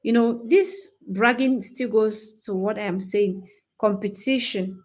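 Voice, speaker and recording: A woman in her twenties with a Cameroonian English accent, chatting casually with livestream viewers in an animated, opinionated and emphatic way. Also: medium pitch, a little slow. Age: 20s. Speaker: livestream host, English-teaching creator.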